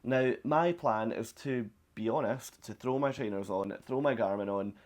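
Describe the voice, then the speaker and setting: A man's voice: mid-range voice, moderate pace, darkish tone. A young British man from Britain, chatting casually to camera as a travel vlogger from a cruise ship balcony, upbeat and rambling with fillers.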